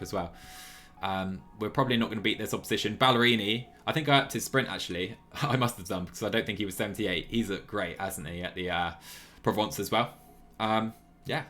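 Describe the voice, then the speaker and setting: Low-voiced man streaming over music. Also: fairly bright tone. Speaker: live streamer playing a cycling management game. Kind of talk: casual live-stream chat and game commentary. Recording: music playing behind the voice. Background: background music.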